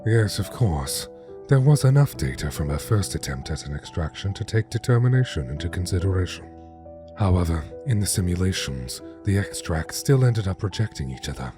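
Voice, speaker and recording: A man playing an obsessive, brooding skeleton scientist in a dramatic comic dub, with precise, formal diction. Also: deep voice. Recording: music behind the voice.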